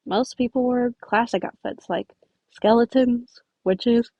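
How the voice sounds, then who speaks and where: A woman's voice: on the high side, unhurried, darkish tone, breathy edge, no echo, muffled recording. Woman playing a sullen, sardonic teenage boy. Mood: dry, sardonic. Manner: curt, dry, sardonic.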